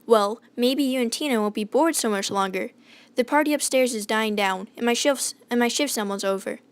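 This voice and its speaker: A woman's voice: a little high, moderate pace, close to an everyday voice. A woman playing a kind, eager young bellhop lad in a radio drama, friendly and a little hesitant.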